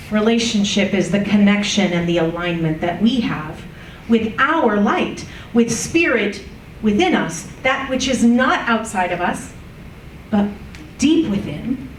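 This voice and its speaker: A woman's voice: fairly high pitch, background noise. A woman giving an unprepared church talk, candid and self-mocking, laughing often. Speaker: guest speaker and church musician. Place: church sanctuary. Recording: echoey church room from far off.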